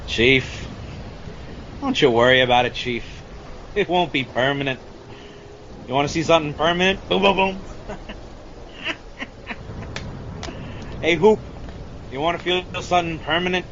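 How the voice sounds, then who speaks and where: A man's voice: on the high side, moderate pace, long pauses, a bit bright. A man playing a weathered, hard-drinking old shark hunter and haunted war veteran in an amateur audio adaptation of a film scene, with a salty, folksy storytelling manner. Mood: boisterous, tipsy. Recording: dull, noisy lo-fi recording.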